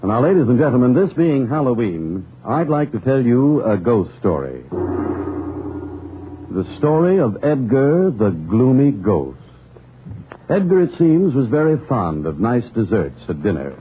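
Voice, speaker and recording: A man's voice: mid-range voice, sing-song, unhurried. A man announcing on 1940s radio with a polished, theatrical, emphatic storytelling delivery. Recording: tinny, hissy old radio recording.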